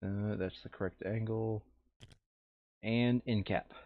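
A man with a fairly low voice gives casual board game commentary, thinking aloud and reading out cards. The sound is dry and close, slightly dull and lo-fi. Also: steady tone, slow pace, dry recording. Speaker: player in a tabletop board game playthrough.

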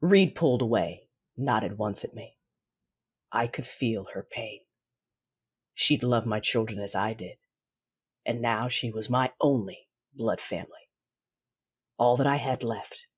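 A woman playing a bitter, imperious Sith Lord bent on vengeance, narrating an audio drama in a grave, measured way. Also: very deep voice, husky, no echo, clean studio sound, exaggerated voice. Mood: bitter resolve.

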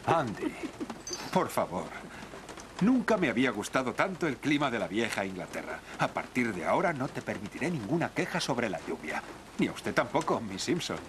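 An actor dubbing a calm, wry male detective in an animated series. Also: medium pitch, normal speed, noisy background, lightly acted. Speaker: detective lead.

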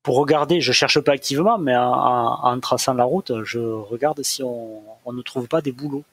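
A man's voice: medium pitch, normal speed, a bit bright, no room sound. A man playing in a tabletop game, chatting casually and confidently. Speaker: player.

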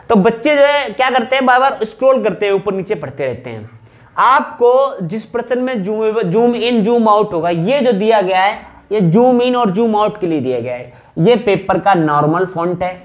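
Male coaching teacher, thirties, high-pitched voice, instructive and emphatic, explaining to students. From northern India. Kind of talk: explanatory exam-prep lesson at a whiteboard. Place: small indoor room with whiteboard.

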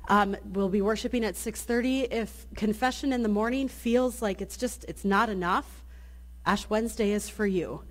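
A woman's voice: medium pitch, normal speed. A woman in her thirties, a pastor giving friendly, inviting announcements and a blessing at a lectern mic in a church sanctuary. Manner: friendly, inviting, with many restarts. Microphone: lectern microphone.

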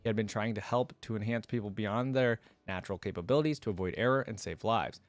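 A young man hosting a reaction video, reading lore aloud and adding casual, expressive commentary into a close desk microphone. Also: lowish voice, quick pace, dry recording, faint music behind. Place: indoor desk setup at home.

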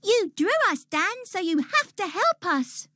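A man's voice: very high-pitched, very animated, a little slow, sharp tone, no room sound, clean studio sound, voice pushed up, exaggerated voice. A man voicing an earnest little girl in a storybook, speaking in a pleading way.

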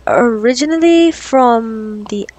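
A woman's voice: fairly high pitch, expressive, slow, darkish tone. A woman playing a flustered, awkward young doctor on a date in an ASMR roleplay, stammering nervously and self-consciously. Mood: shy, flustered.

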